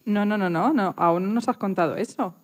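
A woman's voice: medium pitch, fairly quick. A woman from asking questions as the interviewer in a scripted radio interview.

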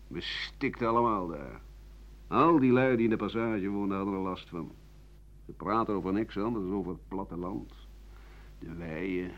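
An older, embittered man of letters played by a male actor in a radio drama monologue. His voice is low and rough-edged, rambling from muttering to sardonic declaiming. The recording is somewhat dull. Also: lightly acted. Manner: rambling, bitter, sardonic, with muttering and dry chuckles. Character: embittered ageing French writer-doctor recalling his wretched life.

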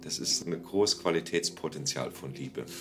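Very deep-voiced man, thinking aloud. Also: normal speed. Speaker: interviewee. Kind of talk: casual reflective talk about relationships. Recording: loud guitar music behind the talk.

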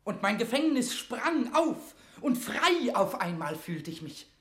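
A man's voice: very high voice, sing-song, measured pace, pushed and bright, exaggerated voice. Fervent young nobleman in echoing room. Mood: exalted. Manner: declamatory, impassioned, solemn. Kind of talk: classic German verse drama, declaimed radio acting.

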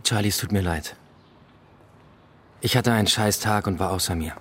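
An actor playing a contrite, impulsive police detective, earnest and halting, in a German radio drama. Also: male voice, low voice, a bit bright, breathy edge. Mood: apologetic.

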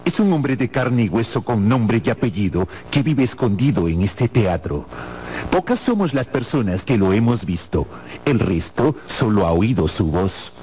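Solemn, mysterious Persian, animated intonation. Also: male voice, lowish voice, slight background noise. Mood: grave, informative. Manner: solemn, deliberate, dramatic delivery. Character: grave, mysterious Persian man, knowing guide. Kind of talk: Spanish-language radio drama acting. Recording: music behind the voice.

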